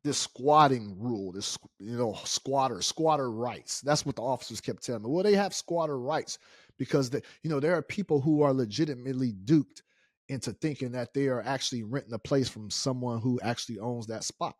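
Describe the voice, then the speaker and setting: A middle-aged American man hosting a casual story time, speaking in an animated, indignant and emphatic way. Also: medium pitch, a little fast, no echo, studio quality. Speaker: story-time show host.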